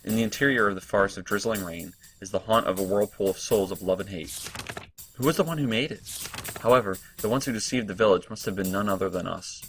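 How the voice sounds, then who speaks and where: A man playing a game who reads the on-screen text aloud and then thinks it through in halting, casual commentary. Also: on the low side, soft background music, rough recording. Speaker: let's play host. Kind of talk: reading game text and reacting.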